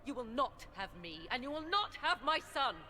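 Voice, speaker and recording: Proud protective sorceress, female, animated range, dramatic, defiant, video game, noisy distant ambience. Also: high voice, normal speed. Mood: angry, defiant.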